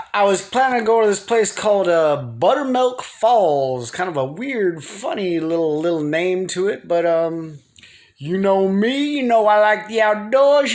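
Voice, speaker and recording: A man's voice: extremely high pitch, gravelly, a bit bright. A man rambling casually to his viewers in a folksy, slangy, playful way, as in a personal home vlog. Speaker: video blogger. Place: living room at home. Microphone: phone.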